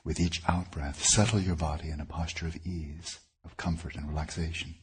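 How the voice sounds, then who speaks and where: A man leading a guided meditation as a teacher, speaking in deliberate, measured phrases with pauses. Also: rumbling low, flat delivery, a little hiss or hum.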